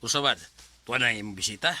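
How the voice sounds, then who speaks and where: A man playing a stern, bossy middle-aged father in a Bisaya radio drama, with a forceful manner and a Bisaya accent. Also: medium pitch, fast talker, music in the background, close to an everyday voice.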